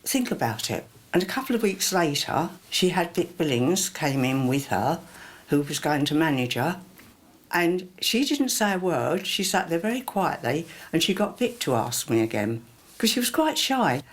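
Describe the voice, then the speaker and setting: A woman's voice: low-pitched, very animated, moderate pace. A woman recalling her working past in an interview, voicing remembered dialogue as she goes. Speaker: former personal assistant. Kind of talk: anecdotal interview recollection.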